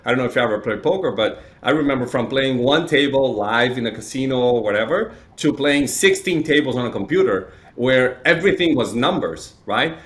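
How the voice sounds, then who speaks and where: A man from Chile who grew up speaking talks as a guest in an interview. His pitch sits in the middle for a man, and he explains things conversationally, with frequent tag questions. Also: a bit bright. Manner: explanatory, uses frequent "right?" tags. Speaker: interview guest, real estate data provider.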